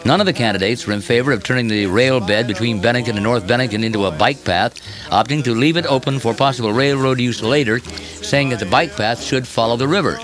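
A man's voice: mid-range voice, fast talker. Emphatic radio newsman with music underneath. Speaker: radio news reporter and commentator. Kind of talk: scripted radio editorial.

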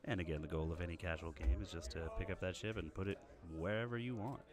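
A deep-voiced man casually hosts a tabletop game livestream, rambling easily and chuckling between points. He speaks into a directional mic a few feet from the table, and the chatter of a busy game store can be heard behind him. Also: quick pace. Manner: relaxed, rambling, chuckling asides. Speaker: stream host and commentator. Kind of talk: casual stream commentary. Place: game store play area. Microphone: directional mic about five feet from the game table. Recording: voice over store crowd chatter.

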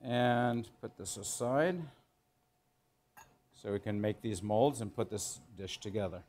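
A middle-aged man, a chef teaching in a kitchen, explaining as he works in an informal, halting way and repeating phrases for emphasis. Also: fairly low pitch, a little mellow, a little hiss or hum. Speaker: chef giving a cooking demonstration. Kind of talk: instructional cooking demo, explaining while working. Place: indoor professional teaching kitchen.

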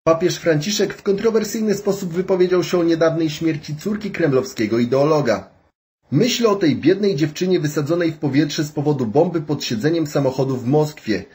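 A man's voice: a little high, lively intonation, brisk, darkish tone. A man in his thirties reading a news item for a short social media video.

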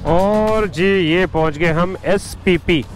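A man's voice: high-pitched, slow, over music. A young man with a Mumbai Hindi accent, chatting playfully while riding a motorcycle in the rain. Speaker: motovlogger host. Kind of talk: casual vlog chat while riding. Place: outdoors on a motorcycle in rainy city traffic. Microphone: helmet or bike-mounted action camera. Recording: loud road and wind noise outdoors.

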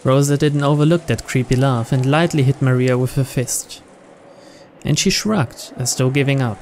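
A man's voice: medium pitch, moderate pace, a little mellow, a little hiss or hum. A man reading scripted story narration as host of a visual novel playthrough.

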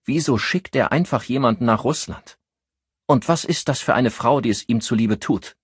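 A man voicing a tense, ambitious female police inspector in an audiobook, with brisk, clipped questions. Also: medium pitch, husky, no room sound, studio quality, voice pushed up. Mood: anxious, bitter. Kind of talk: audiobook character dialogue.

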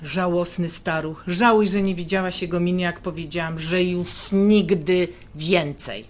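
An actress playing a blunt, bitter adult sister in an audio drama, with a sardonic, needling way of talking. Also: female voice, on the low side, steady tone, unhurried, natural voice. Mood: sardonic, confiding. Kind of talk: naturalistic audio drama dialogue.

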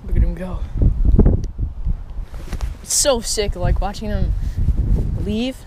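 A young man chatting casually and excitedly as he fishes, in slangy, exclamatory speech, recorded outdoors on an action camera. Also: high-pitched, slow, very noisy. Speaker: fishing vlogger. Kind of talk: casual excited chat while fishing. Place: outdoors on a rocky reservoir shore. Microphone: body-worn action camera.

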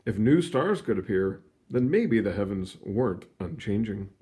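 A man reading a children's science book aloud in an expressive storytelling manner, stretching words for emphasis, with casual asides. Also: lowish voice. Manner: animated, storytelling delivery with drawn-out emphasis. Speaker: read-aloud reader.

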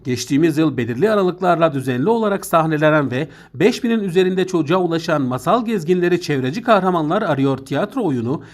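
A man's voice: fairly high pitch, animated, quick pace, hardly any pauses. A man reading a scripted news voice-over. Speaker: news narrator.

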